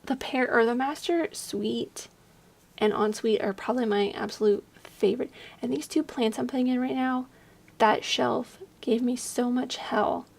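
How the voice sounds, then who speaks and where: A young woman giving casual voice-over commentary on a game build, speaking quietly at night while slightly hoarse from losing her voice, rambling with lots of fillers. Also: a little high, steady tone, a little slow. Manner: rambling, chatty, many fillers; hushed, keeping quiet at night. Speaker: video creator. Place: home at night, at a desk.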